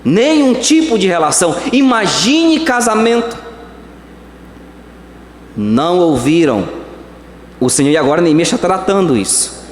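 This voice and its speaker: A Brazilian man preaching a sermon as pastor, swinging between slow emphatic words and quick phrases. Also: sits high, big pitch swings, slight background noise. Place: church hall. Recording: echoey church hall.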